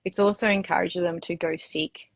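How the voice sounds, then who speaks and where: A woman's voice: lowish voice, steady tone, brisk, creaky, slight background noise. An Australian woman who owns a women's health practice, talking earnestly in a casual live-stream interview, with many restarts and fillers. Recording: video call, remote.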